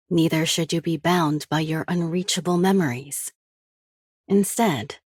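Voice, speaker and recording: Deep, husky, grave mentor. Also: female voice, no echo, clean studio sound, full character voice. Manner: formal, deliberate, serious. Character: grave, authoritative female mentor, principled. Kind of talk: scripted game voice acting.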